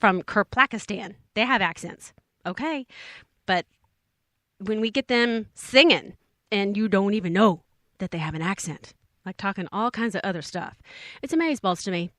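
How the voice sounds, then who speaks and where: A woman's voice: medium pitch, moderate pace, fairly bright tone, no echo. Lady radio host rambling in slang, bubbly, dry close sound. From Texas. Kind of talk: chatty radio DJ banter.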